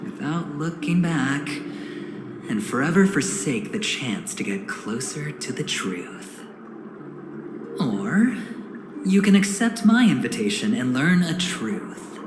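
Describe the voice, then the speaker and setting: An actor playing a suave, smug, scheming corporate insider in a video game, speaking theatrically with dramatic pauses. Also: male voice, medium pitch, roomy sound. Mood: persuasive, scheming. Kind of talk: video game cutscene dialogue.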